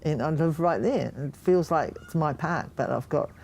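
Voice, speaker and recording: A woman speaking openly and emotionally in an interview, halting now and then as she reflects. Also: sits low, darkish tone, a little hiss or hum. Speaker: project participant. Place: near a park in New Zealand.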